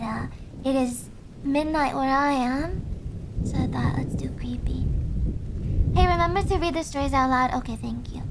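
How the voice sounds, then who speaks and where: A young woman streaming, chatting softly and hesitantly with viewers with many fillers. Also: high-pitched, slight background noise. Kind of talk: casual chat with viewers. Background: faint background music.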